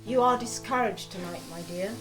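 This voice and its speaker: An actress playing a fierce, patient, vengeful revolutionary wife in a theatrical period drama, speaking with deliberate, commanding rhetoric. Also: female voice, medium pitch, natural voice. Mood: firm, challenging. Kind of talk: dramatised classic novel, theatrical acting. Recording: loud guitar music behind.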